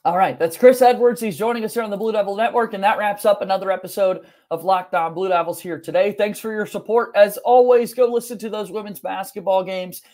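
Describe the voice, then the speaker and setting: A man's voice: high voice, steady tone, brisk, few pauses, darkish tone. A young man in his twenties hosting a sports podcast in a conversational style from a home office. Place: home office room, video call.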